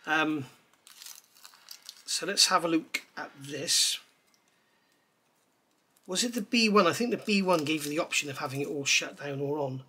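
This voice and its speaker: A man's voice: on the high side, a little slow, pauses often, pushed and bright, slightly rough. A middle-aged man casually reviewing scale model parts at his table, chatty and enthusiastic, with lots of ums and gushing praise. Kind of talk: casual hands-on product review. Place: indoors at a table.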